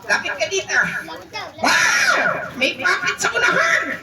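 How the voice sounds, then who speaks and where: A woman's voice: very high voice, huge pitch swings, measured pace, pitched above the performer's own voice, exaggerated voice. A woman voicing a cheeky, mischievous childlike puppet that talks back bluntly in a live ventriloquist show. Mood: excited, bossy. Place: children's birthday party venue. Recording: echoey party venue, distant, loud crowd.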